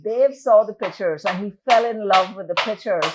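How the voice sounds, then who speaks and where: A woman telling a story in an interview, lively and acting out the dialogue. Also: mid-range voice, moderate pace, heavy background noise. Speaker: playwright and producer. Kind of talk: interview anecdote.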